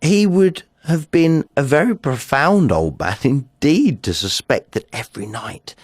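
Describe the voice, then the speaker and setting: Theatrical, unhinged murderer, wildly swinging pitch. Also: male voice, a little slow, no room sound, studio quality. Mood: gleeful, agitated. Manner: theatrical, drops into breathy whispers, swings to excited outbursts. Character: nervous madman murderer confessing, insisting on his sanity. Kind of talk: dramatic Gothic short story reading.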